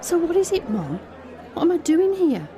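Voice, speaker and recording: A woman's voice: very high voice, big pitch swings, moderate pace, crowd noise. An actress playing a guarded, tender young woman, telling her story conversationally in a first-person audio drama monologue. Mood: puzzled, wary. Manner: confiding, conversational storytelling that re-enacts dialogue. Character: Alex, young woman, guarded, frank, tender daughter confiding a secret.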